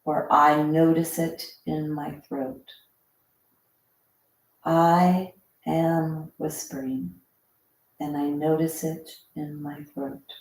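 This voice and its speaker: Slow, low-voiced woman guiding meditation. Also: flat delivery. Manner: gentle, instructing softly with pauses. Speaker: breathing meditation instructor. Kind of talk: guided breathing meditation. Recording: roomy, echoing sound.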